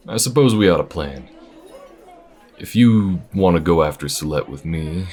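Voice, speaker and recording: A man voicing an easygoing, wry alien bounty hunter with folksy, unhurried phrasing in an audio roleplay. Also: deep voice, a little mellow. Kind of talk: audio roleplay addressed to the listener. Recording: restaurant chatter behind. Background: restaurant crowd ambience.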